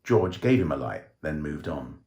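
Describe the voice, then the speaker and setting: A man reading a short story as dramatic scripted narration, with theatrical, measured phrasing. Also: a bass voice, big pitch swings, vocal fry, dark tone. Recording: roomy, echoing voice recording.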